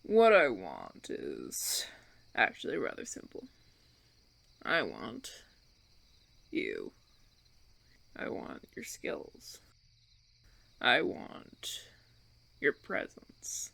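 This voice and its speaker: A man playing a smug, menacing vampire recruiter in an audio roleplay, with a gravelly, creaky voice and theatrical, taunting, drawn-out phrasing. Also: fairly low pitch, fairly bright tone, full character voice. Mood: commanding, intense. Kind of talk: ASMR audio roleplay acting.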